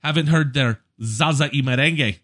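A man who works as a DJ, chatting casually and telling stories in an animated way, acting out exchanges. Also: mid-range voice, normal speed. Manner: animated storyteller, acts out exchanges. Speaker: event DJ. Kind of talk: casual anecdotal chat.

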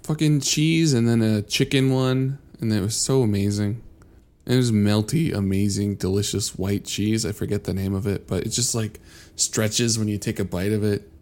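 Guy w a lowish voice on a podcast, telling stories casually, animated, swears a bit. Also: moderate pace. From born in Venezuela, left in infancy. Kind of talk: casual chat, anecdotes.

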